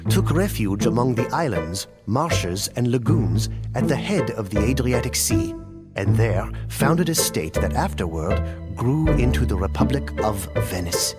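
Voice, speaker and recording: A man playing a grave storyteller in a game cutscene, narrating ancient wars in a solemn, theatrical, deliberate way. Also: medium pitch, very animated, loud music behind the voice. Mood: grave, dramatic. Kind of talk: dramatic scripted storytelling narration.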